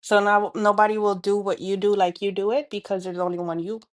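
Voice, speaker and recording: A woman's voice: medium pitch, level tone, fairly quick. A woman with a Dominican accent, hosting a spiritual healing talk, speaking earnestly to camera with many fillers and restarts. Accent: Dominican Spanish. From Dominican Republic. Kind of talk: reflective monologue to camera.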